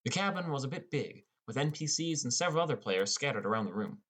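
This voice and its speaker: A man's voice: medium pitch, expressive, quick pace, a bit bright. A man narrating a scripted first-person story as an audiobook narrator.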